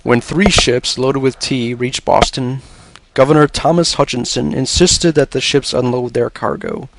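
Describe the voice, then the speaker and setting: A man reads a scripted educational narration in a measured, expository way. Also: medium pitch, fairly even intonation, gravelly, a little hiss or hum, poor audio.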